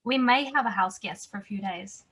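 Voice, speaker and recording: A woman's voice: mid-range voice, a little fast, a bit bright, slightly rough. A woman playing a no-nonsense, wry mother in her mid-thirties in a drama table read. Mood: wry, matter-of-fact.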